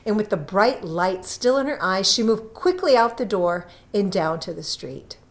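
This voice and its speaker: A woman reading a story aloud as scripted narration, with dramatic emphasis and drawn-out phrases. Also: mid-range voice, lively intonation. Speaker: audiobook reader.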